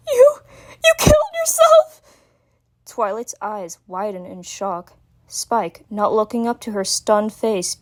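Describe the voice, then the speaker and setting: A woman's voice: medium pitch, darkish tone. A woman narrating a scripted story as a dramatic reading, in an earnest, solemn manner.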